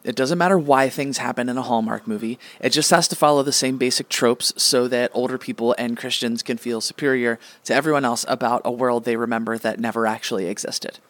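Radio comedy: male deity, bossy and childish, mid-pitched, indignant man acting, recorded dry. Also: fairly even intonation, brisk, hardly any pauses, dry recording, natural voice. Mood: dismissive. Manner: indignant, peremptory. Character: petulant, bossy star god with childish gamer obsession.

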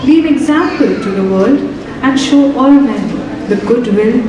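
A young woman acting a calm, dignified wife on a school stage, speaking in measured, solemn declamation. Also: on the high side, lo-fi sound, a crowd in the background. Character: serene, dignified wife who gives up her husband. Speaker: student actor in a stage play. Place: large auditorium stage. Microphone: distant stage mic. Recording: echoey auditorium from far off.

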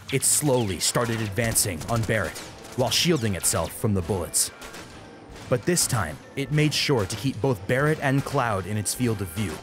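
A man narrating an action story as an audiobook, in a dramatic scripted style. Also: lowish voice.

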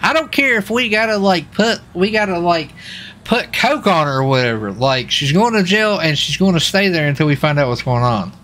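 A man's voice: high-pitched, animated, normal speed, a bit bright, a little hiss or hum. An American man talking casually in a folksy, slangy way as he streams a police roleplay game.

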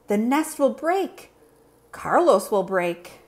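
A woman voicing an excitable, eager schoolchild in a storybook read-aloud. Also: a little high, slow pace. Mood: alarmed. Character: excitable schoolchildren on a field trip, alarmed and eager.

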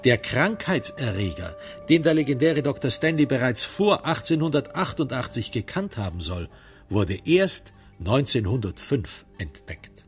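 A man playing the wry, sombre narrator of a crime radio drama, telling it in measured literary prose with dramatic emphasis. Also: medium pitch, sing-song, faint music behind. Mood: wry, analytical.

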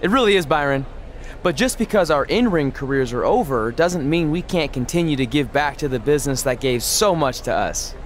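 A man's voice: fairly high pitch, expressive, brisk, a little hiss or hum, lightly acted. A man playing a cheeky, joking ex-wrestler. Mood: playful. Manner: playful, joking.

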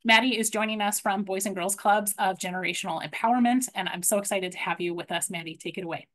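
A middle-aged woman runs a webinar from her home office over a video call. She talks in a relaxed, chatty way, and her voice sits at an ordinary mid pitch. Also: fast. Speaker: webinar presenter. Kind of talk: casual presentation. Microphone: video call webcam.

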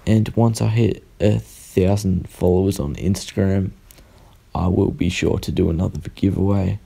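A young man, sounding croaky and tired, rambling through a casual unboxing voice-over at home and correcting himself as he goes. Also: deep voice, steady tone, dark tone. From Australia. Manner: rambling, self-correcting, unhurried. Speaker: sticker artist vlogger.

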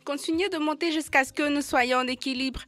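A woman's voice: high voice, fairly even intonation, a little fast, a bit bright, dry recording, soft background music. A woman voicing a small, friendly, earnest young elephant in an educational cartoon.

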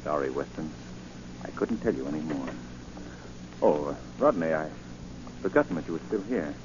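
An actor playing a composed, guarded, wealthy gentleman sleuth in 1940s radio acting style. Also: extremely low pitch, lively intonation, moderate pace. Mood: controlled. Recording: noisy, muffled 1940 radio recording.

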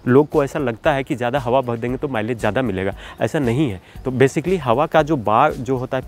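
Male car vlogger, mid pitch, chatty and explanatory, loose filler words, faint ambient noise. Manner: conversational, frequent "you know" and "okay" fillers, mixes English words into Hindi. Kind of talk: casual explanatory vlog talk.

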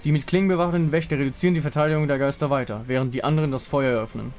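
A man's voice: on the high side, steady tone, very fast, darkish tone. A man narrating a fantasy game story in a dramatic scripted style. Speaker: narrator reading visual novel dialogue. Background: music.

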